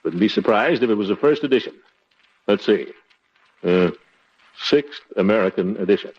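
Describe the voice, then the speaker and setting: An actor playing a pompous, sentimental middle-aged uncle in a theatrical, chuckling 1940s radio comedy style. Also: male voice, on the low side, animated, normal speed, slightly rough. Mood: content. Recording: muffled vintage radio broadcast recording.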